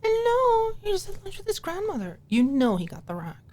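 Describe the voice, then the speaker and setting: A woman's voice: extremely high pitch, wildly swooping pitch, brisk, a little mellow, pitched above the performer's own voice, heavily acted. A woman voicing a dorky, playful young woman in an audiobook, proudly passionate. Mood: gossipy reciting. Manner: mumbles and recites movie lines under her breath. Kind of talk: audiobook character acting.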